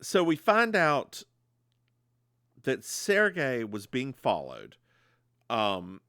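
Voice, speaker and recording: A man's voice: medium pitch, very slow, clean tone, no room sound, studio quality. A man co-hosting a podcast, recapping a TV episode in casual chat and slipping into voices when he quotes characters. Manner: animated storyteller, slips into voices when quoting.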